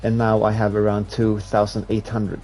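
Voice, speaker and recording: A man's voice: on the low side, normal speed, soft background music, low-quality recording. A young man from Sweden with a Swedish accent, chatting casually with viewers as a streamer while playing a racing game. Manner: relaxed, rambling, with restarts while playing. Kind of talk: casual chat while gaming live.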